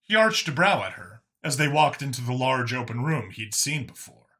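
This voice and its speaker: A male audiobook narrator reads scripted fiction in a mid-range voice. The tone is bright and forward, suited to prose storytelling. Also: medium pitch, sing-song, moderate pace.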